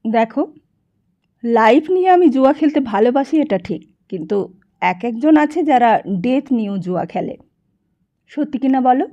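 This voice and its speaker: A woman's voice: high voice, expressive, normal speed, long pauses, mellow, highs cut off. A woman voicing a smug, money-hungry worldly businessman who lectures pompously in an audiobook, slipping in English phrases. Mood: boastful, philosophising. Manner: pompous monologuing, mixes English into Bengali. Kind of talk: audiobook character dialogue.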